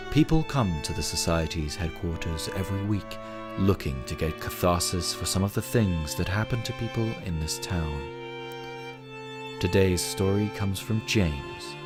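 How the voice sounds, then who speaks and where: A man playing a society president who introduces eerie small-town tales in a radio play, measured and solemn. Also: low voice. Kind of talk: scripted radio drama narration.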